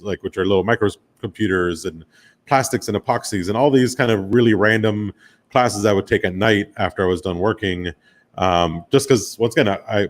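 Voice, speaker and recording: An American man from Miami giving an informal presentation about his own career, speaking in a casual, loosely phrased, conversational way. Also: lowish voice. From Miami, United States. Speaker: guest speaker, commercial photographer and director.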